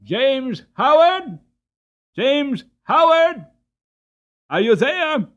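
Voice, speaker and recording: A man voicing a commanding, caring gentleman who owns horses, firm and urgent in his orders. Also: very high-pitched, big pitch swings, very slow, highs cut off, voice pushed up, exaggerated voice. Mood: calling out.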